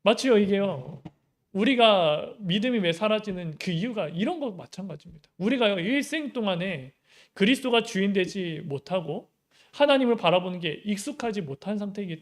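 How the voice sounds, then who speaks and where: A young adult male pastor giving a Sunday sermon in an easy, conversational way, with many rhetorical questions. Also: high voice.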